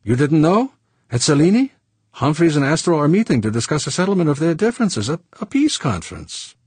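A man voicing an excitable older man, raised and exclaiming, in an audiobook. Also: a little high, a little fast, breathy edge, no echo, voice pushed up. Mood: incredulous.

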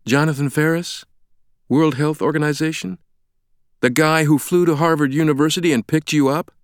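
A man's voice: mid-range voice, expressive, moderate pace, no echo, clean studio sound, pitched above the performer's own voice, close to an everyday voice. A man playing an agitated American doctor in an audiobook, incredulous and demanding, blurting out his words. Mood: angry, incredulous. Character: agitated American man, an itchy, indignant public health doctor.

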